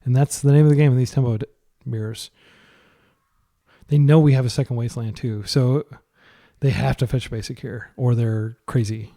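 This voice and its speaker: A man's voice: mid-range voice, fairly even intonation, moderate pace, creaky, dark tone. A young man giving casual, thinking-aloud commentary on a card game he is playing. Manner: chatty, thinking aloud with fillers. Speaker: gaming commentator.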